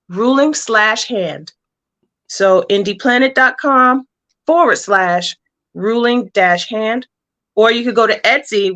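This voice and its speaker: A woman's voice: mid-range voice, bright, forceful tone. A woman speaking casually as an interview guest, explaining plans for a story as a comic book writer.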